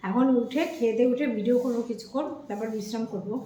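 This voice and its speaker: A woman's voice: on the high side, rapid-fire, warm tone. A woman chatting casually to viewers as a food vlogger while eating, repeating herself in a familiar, friendly address. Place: indoors at a dining table at home. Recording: echoey room with faint background noise.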